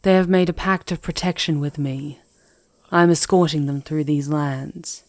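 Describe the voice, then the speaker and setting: A woman playing a composed, regal and protective demoness guide in an ASMR fantasy roleplay, speaking in a measured, hushed, poised way. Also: low-pitched, no echo, natural voice. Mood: firm, protective.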